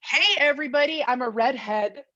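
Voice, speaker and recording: A woman's voice: high voice, brisk, pushed and bright. A woman co-hosting a podcast with casual comedic banter, playfully mock-dramatic. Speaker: podcast co-host. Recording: dull, dry audio.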